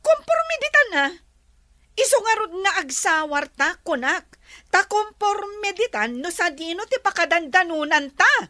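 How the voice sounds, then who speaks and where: An actress in a radio drama playing a bossy, impatient, haughty young madam who snaps out orders. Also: female voice, extremely high pitch, big pitch swings, normal speed, bright, forceful tone, heavily acted. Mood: irritated, ordering. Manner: imperious, snapping orders. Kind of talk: Ilocano radio drama acting.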